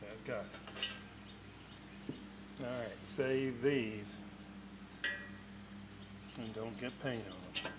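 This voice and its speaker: A man narrates hands-on restoration work casually, in short unhurried phrases muttered as he goes. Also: medium pitch. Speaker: radio restorer hosting a repair video. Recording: clearly noisy workshop recording with clicks.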